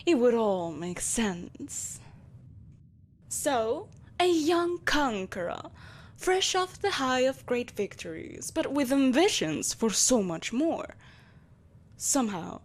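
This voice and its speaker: A woman's voice: a little high, full character voice. A woman playing a malevolent, possessive empress in a dramatic audio roleplay, imperious and theatrical, with mocking sweetness. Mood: storytelling, measured.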